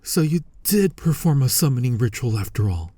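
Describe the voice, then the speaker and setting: A man playing a flirty, exasperated incubus demon in an intimate ASMR roleplay, husky and breathy with a teasing, sardonic manner, often slipping toward a whisper. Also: medium pitch, sing-song, dark tone, no room sound, clean studio sound. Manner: slow, teasing, sardonic delivery. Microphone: close, dry studio-style microphone.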